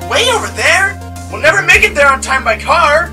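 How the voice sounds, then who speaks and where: A man voicing a goofy, excitable boy, the youngest brother in an amateur cartoon, with a squeaky, dopey voice. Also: a little fast, faint music behind, heavily acted. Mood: excited.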